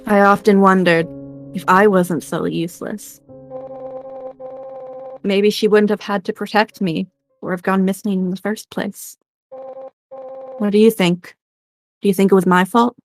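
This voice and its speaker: A woman's voice: mid-range voice, steady tone, measured pace, pauses often, faint music behind, lightly acted. A woman playing a young rejected witch, wistful yet teasing, confiding in a conversational way in a game script performance. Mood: self-blaming. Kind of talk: fan-performed game script, dramatic dialogue.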